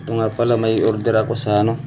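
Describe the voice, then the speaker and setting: A man with a Filipino accent casually showing items in an unboxing vlog, haltingly searching for words. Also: fairly low pitch, monotone. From Philippines. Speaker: DIY and motovlog host. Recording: clearly noisy home-made vlog audio.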